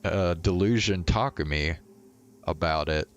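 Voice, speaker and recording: A man's voice: sits low, slow pace, highs cut off, a little hiss or hum. A male streamer reading a visual novel aloud and talking to viewers as he goes. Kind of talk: live read-aloud narration of a visual novel.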